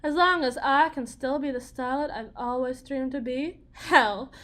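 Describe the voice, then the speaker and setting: A woman playing a desperate, cunning battered young mother bargaining in a dramatic audio roleplay, halting, wry and theatrical. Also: sits high, a bit bright. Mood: coaxing, bargaining. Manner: halting, wry, theatrical, with repeated words and pauses.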